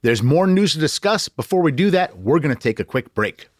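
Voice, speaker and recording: A man's voice: on the high side, very animated, fairly quick. A man hosting a news podcast in a conversational style. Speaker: podcast host.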